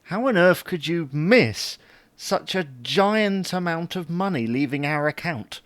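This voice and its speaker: Man acting an exasperated husband, animated and swooping. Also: on the high side, measured pace, no echo. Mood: indignant. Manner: indignant, sarcastic bickering. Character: exasperated, put-upon husband in a domestic squabble. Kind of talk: comedy audio drama dialogue.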